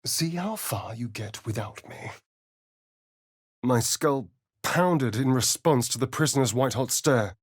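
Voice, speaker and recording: An actor voicing a sardonic, foppish, flirtatious vampire spawn in a video game, with an arch, theatrical, languid drawl. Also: male voice, mid-range voice, no echo, clean studio sound. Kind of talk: video game character lines.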